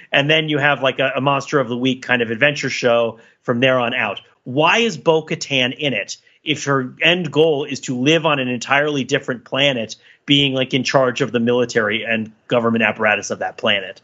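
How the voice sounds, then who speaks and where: A man's voice: mid-range voice, a little fast, sharp tone, highs cut off. A man co-hosting a podcast in a casual discussion, talking emphatically and repeating himself for effect. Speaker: podcast host. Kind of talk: casual pop culture discussion.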